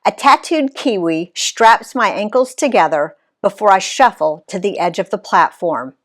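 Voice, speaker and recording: A woman reading her own travel story aloud in an expressive read-aloud style, giving the quoted people voices of their own. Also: mid-range voice. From Alabama, USA. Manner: unhurried, lively reading that voices the quoted characters.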